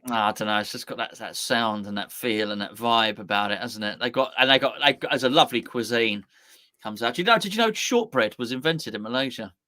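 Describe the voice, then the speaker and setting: A man with a bright, forward voice co-hosts a relaxed live football chat. He rambles, repeats and restarts his words as he thinks aloud. His voice sounds dry and close, with no room around it. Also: medium pitch, fairly quick, no echo. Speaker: co-host. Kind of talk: casual live watch-along chat.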